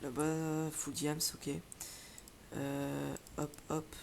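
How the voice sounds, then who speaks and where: A man chatting casually while playing a video game, relaxed and laughing often, trailing off with fillers. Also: a little high, drawn-out words, creaky, slight background noise. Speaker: YouTube gamer. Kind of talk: casual gaming chat. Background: faint keyboard typing.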